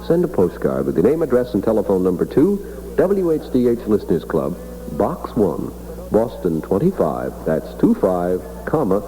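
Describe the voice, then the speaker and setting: A man's voice: on the low side, wildly swooping pitch, normal speed, mellow, low-quality recording. A man hosting a radio show in a casual, wryly self-mocking comic announcing style, on an old 1960s AM broadcast. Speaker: radio host.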